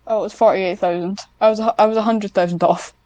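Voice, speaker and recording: A woman's voice: medium pitch, steady tone, quick pace, darkish tone, dry recording, highs cut off. A woman chatting casually as an interview guest, in a hesitant, self-deprecating way. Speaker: guest, hobbyist audio script writer.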